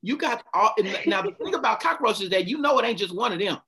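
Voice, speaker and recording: A man's voice: sits high, lively intonation, quick pace, a loud crowd. A man giving a passionate motivational talk, stopping often to ask his listeners questions. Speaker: speaker leading a group talk.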